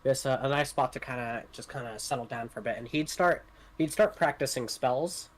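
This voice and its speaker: A man playing a tabletop game, casually describing his character's actions in a halting, tentative way. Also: medium pitch. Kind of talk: casual third-person roleplay description.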